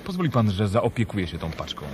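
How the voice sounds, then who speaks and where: Police lieutenant, commanding, formal, lowish, noisy old recording. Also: male voice, very animated, fast talker. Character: curt police lieutenant making an arrest.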